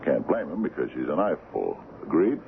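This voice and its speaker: An actor playing an easygoing, gruff sea captain of forty in a radio drama, folksy and prone to repeating himself. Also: male voice, rumbling low, sing-song, normal speed, full character voice. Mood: confiding, insistent. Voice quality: gravelly. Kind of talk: 1970s radio drama acting. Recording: noisy, narrow-band old radio broadcast.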